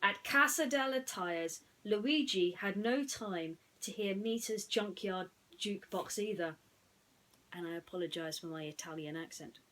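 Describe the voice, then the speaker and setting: A woman storyteller narrating a bedtime story, gently explaining things as if to young listeners. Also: medium pitch, measured pace, poor audio.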